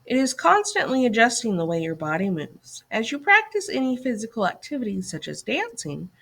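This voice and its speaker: Woman reading aloud with lively, varied pitch. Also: a little fast, gravelly. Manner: instructional, addressing young listeners with questions and directions. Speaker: teacher reading a lesson aloud. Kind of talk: scripted educational read-aloud for children.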